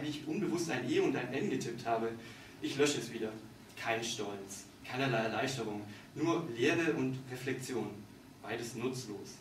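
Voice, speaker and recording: A man in his thirties giving a deliberate, dramatic literary reading of his own satirical monologue on stage. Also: medium pitch. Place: on stage in a small dark theatre. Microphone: distant camera in the audience. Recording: distant, echoey hall with background noise.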